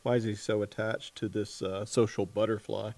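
A man's voice: on the low side, flat delivery, normal speed, vocal fry, darkish tone, dry recording. Man interviewing, dry close sound. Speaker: interviewer, host. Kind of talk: casual interview questioning.

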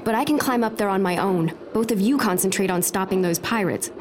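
A woman playing a proud, commanding noble lady in an audio drama, speaking crisply and imperiously. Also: mid-range voice, brisk, a little mellow, natural voice. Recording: music score behind.

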